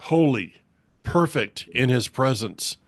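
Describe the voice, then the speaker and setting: A man's voice: medium pitch, animated, slow pace, dry recording. A man with a Southern US accent hosting a casual faith conversation, folksy and meandering, with lots of restarts. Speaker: podcast host.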